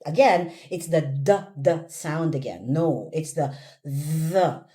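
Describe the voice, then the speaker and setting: A woman with a Filipino accent teaching English pronunciation one-on-one, encouraging and instructive, repeating words slowly for the learner. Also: low voice, dark tone.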